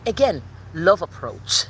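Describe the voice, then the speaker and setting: A woman's voice: on the low side, sing-song, slow, a little hiss or hum, rough recording. A woman tarot reader giving a casual spiritual reading, talking loosely and straight to the listener.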